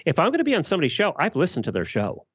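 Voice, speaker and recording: A man's voice: fairly high pitch, wildly swooping pitch, very fast, husky, dry recording, muffled recording. A man giving blunt, emphatic answers in a conversational podcast interview. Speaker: podcast guest.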